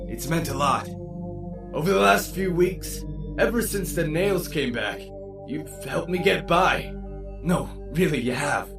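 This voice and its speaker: Volatile robot warrior, gravelly, animated, bitter, theatrical, echoey with music behind. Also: male voice, high-pitched, distant mic, heavily acted. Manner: halting, mock-sincere, theatrical. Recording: roomy echo, off-mic, music behind.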